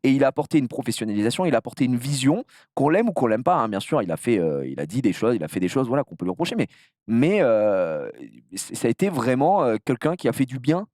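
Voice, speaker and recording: French guy from the south, streamer being interviewed, talks fast, super animated and slangy, dry close sound. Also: mid-range voice, dry recording. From south of France. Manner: animated, slangy, crude swearing. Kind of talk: casual chat, telling anecdotes.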